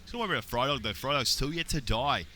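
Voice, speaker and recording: A young adult man with an Australian accent doing play-by-play esports commentary, getting excited on big plays. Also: medium pitch, racing, slight background noise. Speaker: esports caster.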